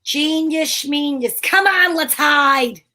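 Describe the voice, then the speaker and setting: High-voiced brisk lady detective played by a woman. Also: sharp tone, heavily acted. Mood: bossy, brisk. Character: brisk, bossy, wry female detective narrating her case. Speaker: narrator and lead actor. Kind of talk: old-time radio detective drama acting.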